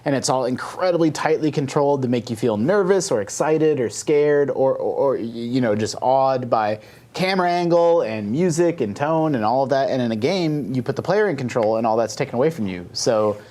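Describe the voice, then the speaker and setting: A man's voice: medium pitch, animated, brisk, a little mellow. A man talking casually and earnestly as a podcast panelist, explaining his opinions at length.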